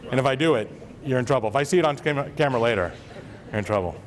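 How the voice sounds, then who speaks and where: A man's voice: medium pitch, lively intonation, rapid-fire. A man from Maine, a school superintendent, giving a chatty, joking convocation speech to his staff in a large hall. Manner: chatty, self-deprecating, joking with the audience. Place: large hall with a live audience.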